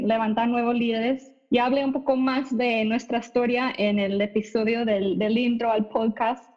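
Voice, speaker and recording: A woman hosts a casual podcast panel and introduces each guest in turn. She laughs easily, and her voice sits fairly high. The audio is dull and lo-fi. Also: a little mellow. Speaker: podcast host. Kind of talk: casual conversational interview.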